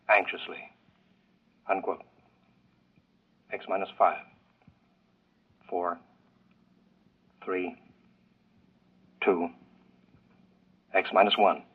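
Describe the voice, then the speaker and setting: Man doing tower control on a launch countdown, husky lowish voice, thin old radio. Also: phone-quality sound. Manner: clipped countdown delivery. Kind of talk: 1950s radio drama acting.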